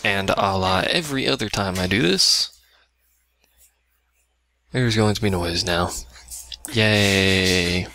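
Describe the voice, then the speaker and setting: A man's voice: lowish voice, slow pace, gravelly, pauses often, pushed and bright, a little hiss or hum. A man giving casual, dry and sarcastic commentary on a video game he is playing. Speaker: let's play commentator.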